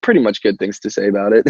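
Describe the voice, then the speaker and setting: Young American male band singer, low voice, rambling casual interviewee in a roomy recording. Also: animated, a little fast, darkish tone, slightly rough. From Albany, New York, United States. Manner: rambling, peppered with "like" and "you know", laughs easily. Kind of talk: casual chat. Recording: muffled, roomy call-like audio.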